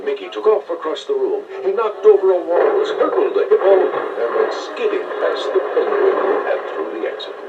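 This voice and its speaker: A man reading scripted children's read-along narration with expressive storytelling delivery. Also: high voice, moderate pace, vocal fry, hardly any pauses, far from the mic, muffled recording, very noisy.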